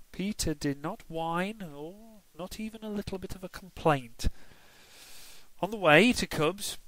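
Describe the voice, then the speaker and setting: A man reading a children's storybook aloud, expressive and emphatic, with playful asides. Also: high-pitched, dry recording, rough recording.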